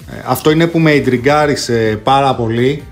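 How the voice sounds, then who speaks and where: Chatty man, echoey room. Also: mid-range voice. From Greece. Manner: chatty, slangy, mixes in English collector jargon. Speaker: host of a retro console collecting channel. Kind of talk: casual video presentation to viewers. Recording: echoey room, distant mic, music behind.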